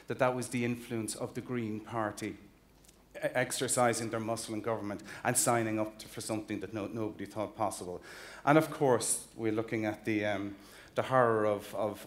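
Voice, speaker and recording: Irish man, fifties, earnest keynote speaker, emphatic, restarts phrases, convention hall stage. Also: fairly low pitch. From Ireland. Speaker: chief executive giving a keynote. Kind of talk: conference keynote speech. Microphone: stage mic, walking the stage with a slide clicker.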